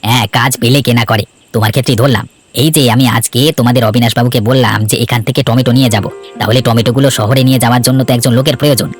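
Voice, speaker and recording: A man's voice: mid-range voice, fairly even intonation, very fast, faint music behind, natural voice. A man voicing a scheming, persuasive trader, the villain of a cartoon folk tale. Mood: insinuating. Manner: sly, coaxing, self-assured. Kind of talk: Bengali cartoon fairy-tale dialogue.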